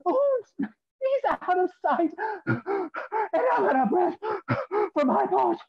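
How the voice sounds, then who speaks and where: A woman's voice: very high-pitched, very animated, takes it slowly, muffled recording, exaggerated voice. An actress playing a panicked servant girl shrieking breathless alarms in a stage play.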